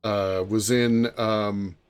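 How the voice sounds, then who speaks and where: A man's voice: lowish voice, little pitch movement, very slow, clean tone. A man co-hosting a podcast, talking in a casual, conversational way. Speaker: podcast co-host. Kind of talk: casual chat.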